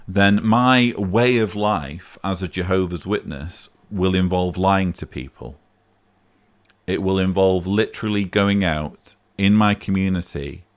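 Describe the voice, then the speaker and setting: A man hosting a vlog, answering a voicemail in emphatic, argumentative casual commentary. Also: low voice. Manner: emphatic, argumentative, rising sharply on key points.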